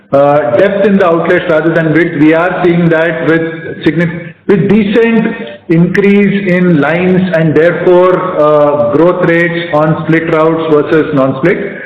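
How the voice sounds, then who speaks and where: An Indian-accented man speaks as a company executive fielding questions in a measured, halting business style. His voice sits a little high. The sound is roomy and somewhat muffled, as on a conference call. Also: steady tone, slight background noise. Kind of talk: earnings call answers, spontaneous business talk.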